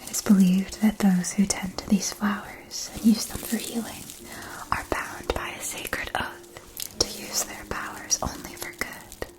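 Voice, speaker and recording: A woman whispering softly and breathily in a scripted ASMR roleplay as a gentle magic shopkeeper, enunciating each phrase with care. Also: mid-range voice, level tone, rough recording. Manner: slow, deliberate, careful enunciation.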